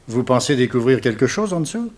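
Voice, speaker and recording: An actor playing a loyal, anxious detective's assistant, questioning and deferential, in a 1960s radio drama. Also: male voice, medium pitch, sing-song, brisk, a little mellow. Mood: alarmed, questioning.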